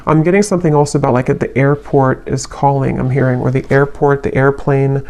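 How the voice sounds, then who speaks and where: A woman giving an unscripted tarot reading in a reflective, halting way, letting her phrases trail. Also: rumbling low, steady tone, warm tone. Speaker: tarot reader, channel host.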